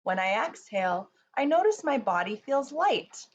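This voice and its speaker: A woman reading a rhyming children's book aloud in a measured, soothing storybook delivery. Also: a little high, very animated. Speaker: picture book reader. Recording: muffled, faint noise.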